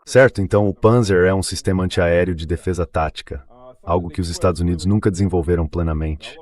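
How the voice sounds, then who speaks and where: Very deep-voiced man explaining conversationally. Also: quick pace, a little mellow. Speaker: interview guest. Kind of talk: dubbed translation of a spoken interview.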